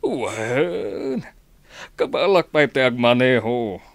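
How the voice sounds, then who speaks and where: A man's voice: mid-range voice, very animated, slow, gravelly, heavily acted. A man acting a stubborn, defensive, grumbling husband in an Ilocano radio drama.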